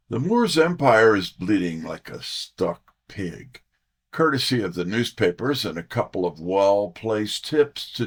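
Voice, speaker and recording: An actor playing a wry, breezy detective's assistant in an audio drama, with a theatrical, wisecracking delivery. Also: male voice, medium pitch. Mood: upbeat. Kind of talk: full-cast audio drama, detective narration.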